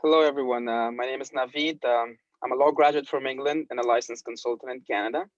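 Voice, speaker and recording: A man from Afghanistan giving a calm, measured introduction as an immigration consultant. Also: medium pitch, no room sound. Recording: dry, dull lo-fi sound.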